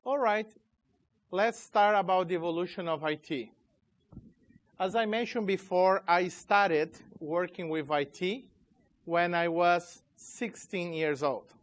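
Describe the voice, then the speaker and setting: Chatty Chilean-born man. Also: high voice. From Chile, raised in Brazil. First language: Portuguese. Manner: informal, halting, loose grammar. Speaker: conference speaker, company CEO. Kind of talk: tech conference talk. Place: conference room.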